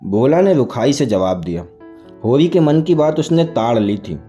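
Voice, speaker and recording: A man's voice: mid-range voice, very animated, moderate pace. A man narrating a literary story as a scripted audiobook reading. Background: soft music.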